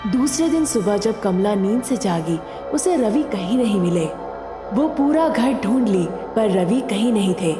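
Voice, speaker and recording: A woman's voice: mid-range voice, expressive, a little slow, in a large hall, over music. A woman narrating a scripted story as a storyteller.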